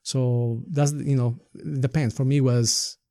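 A man's voice: mid-range voice, steady tone, a little slow, dark tone, dry recording, studio quality. A middle-aged man from Ecuador, a native Spanish speaker with an Ecuadorian accent, giving earnest, explanatory interview answers with rhetorical questions. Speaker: nonprofit staff member being interviewed.

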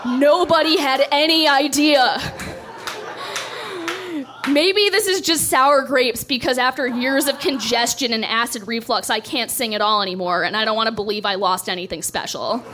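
A woman's voice: a little high, normal speed, sharp tone. A young woman doing a stand-up set, telling a story in a dry, self-deprecating way on a club stage. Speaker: stand-up comedian.

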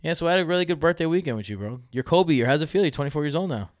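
Animated New man hosting a podcast. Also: fairly high pitch, very fast, dry recording, clean studio sound. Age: 30s. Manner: animated, laughs often, loose and joking. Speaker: fantasy football podcast host. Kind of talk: casual brotherly chat.

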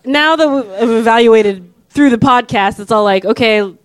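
High-voiced woman from the audience. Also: normal speed. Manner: colloquial, hedging. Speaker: audience member asking a question. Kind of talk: casual chat. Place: room at a live show.

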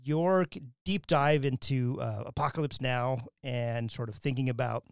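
Gravelly host from Wyoming, rambling. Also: male voice, mid-range voice, normal speed, no room sound, studio quality. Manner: rambling, many restarts and fillers, laughs often. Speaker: podcast host. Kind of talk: casual academic interview.

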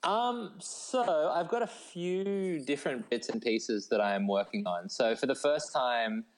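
A man's voice: mid-range voice, animated, measured pace, poor audio. An Australian man chatting casually as an interview guest in a laid-back, self-deprecating way. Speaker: guest, novelist.

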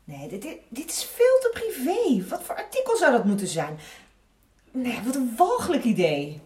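An actress playing an uneasy, hesitant newspaper editor on the defensive in an audio drama. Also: female voice, fairly high pitch, huge pitch swings, normal speed, a little mellow. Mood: uneasy, appalled. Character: uneasy newspaper editor woman, cornered and placating. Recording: echoey room, distant, faint noise.

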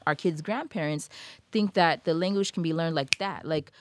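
Low-voiced woman, animated casual chat. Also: moderate pace, a little hiss or hum. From Hawaii, United States. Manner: animated, thinks aloud with many fillers. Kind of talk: casual conversation.

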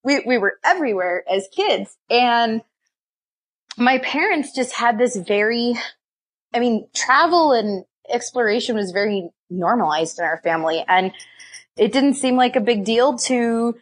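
A woman's voice: on the high side, expressive, unhurried. Chatty laughing young woman storyteller. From raised in many countries, partly Scotland and Nevada. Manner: chatty, laughs often, acts out her own past self-talk. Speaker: podcast guest. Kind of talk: casual storytelling.

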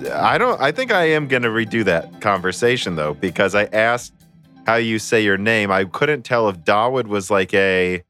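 Low-voiced, rambling American man hosting a podcast. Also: expressive, normal speed, pushed and bright, soft background music. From United States. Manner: loose, rambling, laughs mid-sentence. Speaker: podcast host. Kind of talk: casual podcast chat.

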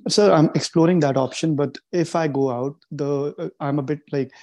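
A man's voice: a little high, moderate pace, darkish tone. A man calling in for advice, speaking hesitantly and deferentially and often repeating himself. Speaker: caller asking for advice.

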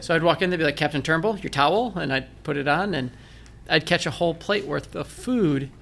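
A man's voice: on the high side, a little fast, vocal fry, a bit bright. A man with a Northern Michigan accent, telling his story in a conversational interview with self-deprecating jokes. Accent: Northern Michigan American. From Michigan, United States. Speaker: guest, blind military veteran.

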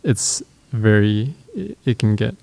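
A man with a low, gravelly voice chats casually in a group, pausing often with ums and likes. The sound is dry and close, with no room around it. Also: very animated, warm tone, no echo. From Japan and the US. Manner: hesitant, many ums and likes.

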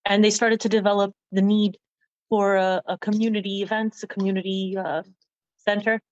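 A woman's voice: mid-range voice, little pitch movement, moderate pace, darkish tone. A woman from the United States introduces herself to a panel in an earnest, somewhat hesitant way. The sound is dry and muffled, with no room echo at all. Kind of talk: self-introduction.

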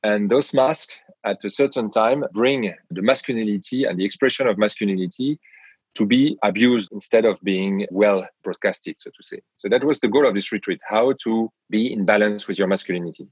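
A man with a French accent, a guest on an interview podcast, speaking in a measured way with frequent pauses and hesitations. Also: on the low side, slightly rough, no room sound, muffled recording. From France. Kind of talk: reflective interview talk.

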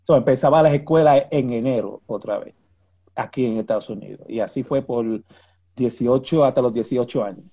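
An older man from Puerto Rico with a Puerto Rican Spanish accent, a retired police officer telling his story as an interview guest in a halting way, repeating words and using many fillers. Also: mid-range voice, dark tone, husky. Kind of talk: casual interview chat.

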